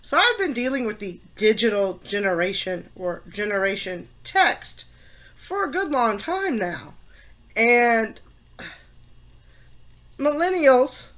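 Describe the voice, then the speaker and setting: A woman in her fifties with a head cold, stuffed up, giving her opinions in a casual class vlog and stressing words between pauses and fillers. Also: medium pitch, fairly bright tone. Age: 50s. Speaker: college teacher and student.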